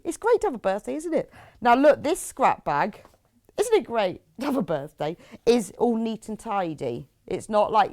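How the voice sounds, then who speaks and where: A woman's voice: fairly high pitch, a little mellow. A middle-aged British woman presenting a live craft shopping show, chatting informally in a rambling way with lots of tag questions and reading out viewers' messages. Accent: British English.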